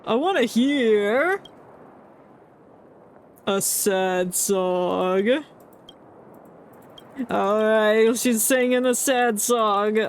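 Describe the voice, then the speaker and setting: A woman's voice: mid-range voice, very slow, pauses often, a little hiss or hum. A woman streaming a video game with casual, reactive commentary and playful, mock-earnest asides.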